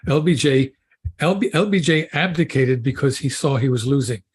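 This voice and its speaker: A man's voice: medium pitch, moderate pace. A man talking on a panel show in a casual discussion, often restarting his phrases. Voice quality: husky.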